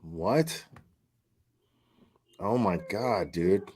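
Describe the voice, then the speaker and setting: A man reacting live as a stream host, his pitch swinging widely as he talks. He is slangy and profane, with a mocking, casual way of commenting. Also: animated, extremely slow, a little mellow, a little hiss or hum.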